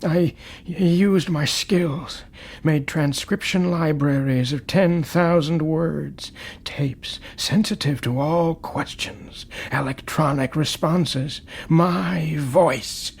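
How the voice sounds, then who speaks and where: A man playing an elderly, lonely man in a radio drama, brooding and rueful, with halting, gravelly speech. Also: fairly high pitch, heavily acted. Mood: reflective, rueful. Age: older. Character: elderly lonely man, rueful, haunted by his own past.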